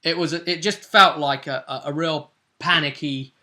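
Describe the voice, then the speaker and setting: British game master with a bright voice. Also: male voice, fairly high pitch, normal speed. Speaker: game master and vidcast host. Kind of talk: casual chat recapping a game session.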